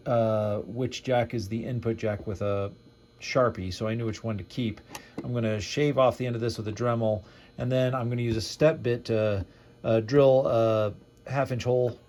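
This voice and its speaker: A man giving a casual, hesitant hands-on walkthrough of a DIY project, explaining it off the cuff with frequent pauses. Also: mid-range voice, a little mellow. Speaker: DIY builder and host.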